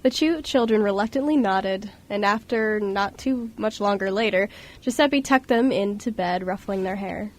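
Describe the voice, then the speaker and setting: A woman's voice: medium pitch, normal speed. A woman reading scripted storybook narration as the narrator of an amateur radio drama.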